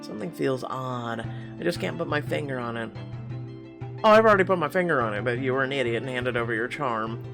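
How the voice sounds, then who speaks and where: A man's voice: medium pitch, lively intonation, a little fast, gravelly, over music. A middle-aged man with a slightly tight throat, reading a story game aloud and rambling with wry asides and many fillers. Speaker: game channel host.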